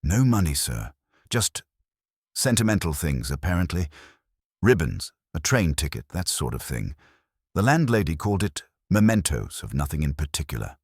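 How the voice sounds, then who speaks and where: A male narrator plays a dutiful police sergeant in a storybook mystery. He reports in clipped, respectful phrases, with a husky edge and lively swings in a low voice. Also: normal speed, dry recording, clean studio sound, heavily acted. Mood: dutiful, matter-of-fact. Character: keen, helpful male police sergeant, dutiful and plain-spoken. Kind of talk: audiobook detective story dialogue.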